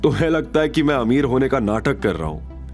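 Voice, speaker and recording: A man's voice: mid-range voice, very animated, a little fast, darkish tone, soft background music, voice pushed up, natural voice. A man playing a proud, wronged young man who speaks firmly and with defiance in an audio drama. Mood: indignant. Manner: firm, indignant.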